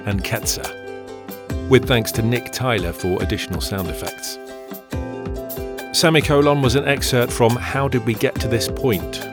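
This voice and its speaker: A man reading scripted credits as an announcer. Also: fairly low pitch.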